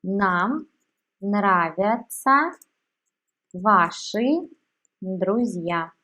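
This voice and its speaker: A woman teacher speaks at an extremely slow, measured pace. She gives each word on its own, with long pauses between them. Her voice sits at a middle pitch. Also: animated, pushed and bright. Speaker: language teacher. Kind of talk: slow, deliberate language lesson.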